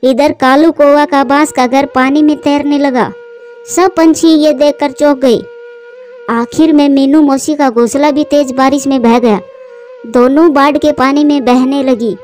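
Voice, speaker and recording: A woman's voice: sits high, steady tone, measured pace, darkish tone, soft background music. A woman narrating a scripted children's animal fable as a storyteller.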